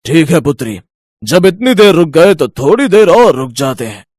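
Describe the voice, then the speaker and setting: A man's voice: fairly high pitch, sing-song, moderate pace, darkish tone, dry recording, studio quality. A man voicing a stern, formal god of death who gives patient but insistent commands in a moral story. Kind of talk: animated Hindi moral-story voice acting.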